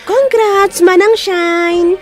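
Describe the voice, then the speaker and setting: A woman's voice: very high-pitched, very slow, exaggerated voice. A woman voicing a sweet, proud younger sibling in a radio drama. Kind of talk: Ilocano radio drama acting. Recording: music bed under radio drama dialogue.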